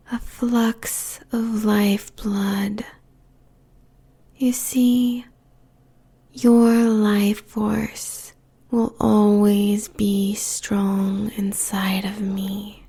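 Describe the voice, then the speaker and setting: A woman playing a seductive, possessive demon queen in a whispered audio roleplay, slow and deliberate, breathy with a gravelly fry. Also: medium pitch, warm tone, heavily acted. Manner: slow, deliberate, sultry, menacingly tender.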